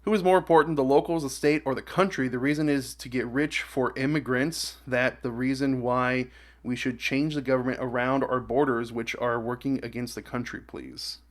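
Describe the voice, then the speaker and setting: A man hosting a casual reaction video, with a mid-pitched voice. He reads comments aloud and adds his own remarks in an offhand way, often halting and stumbling as he goes. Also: steady tone.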